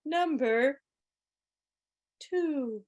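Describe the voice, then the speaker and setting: Slow-paced, high-voiced woman quiz host. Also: a little mellow. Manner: teacherly, playful, deliberate. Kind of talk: club quiz hosting, reading questions aloud. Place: indoors, on a video call.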